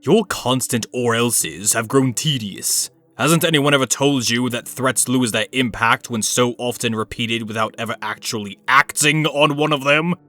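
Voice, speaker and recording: A man's voice: medium pitch, normal speed, few pauses, sharp tone, lightly acted. A man playing a haughty, ruthless, wealthy villain in a comic dub, sneering and articulate. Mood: scornful.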